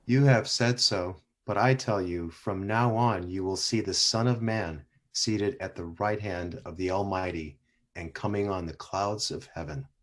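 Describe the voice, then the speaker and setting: A man reading the part of Jesus in a church scripture drama, in a measured, solemn way. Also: low-pitched. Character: Jesus, calm solemn grown man on trial.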